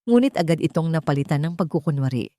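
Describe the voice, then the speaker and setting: A woman with a fairly low voice narrates a scripted story. Her reading is dramatic, and the sound is very dry and close. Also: expressive, quick pace, warm tone, no echo.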